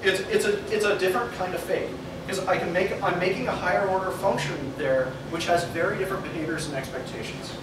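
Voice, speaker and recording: A young man giving a meetup talk at a whiteboard, animated and laughing often as he thinks aloud and takes questions. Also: high voice, fast talker, hardly any pauses. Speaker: meetup speaker. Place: meeting room with an audience. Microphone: far camera mic. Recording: echoey room from far off, noisy.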